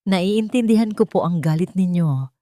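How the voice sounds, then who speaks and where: A calm and firm man from a romantic audio drama , acted out by a woman. The voice is low and closely recorded with no room sound. He speaks politely and earnestly, as a protective guardian. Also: moderate pace, no echo, clean studio sound, close to an everyday voice.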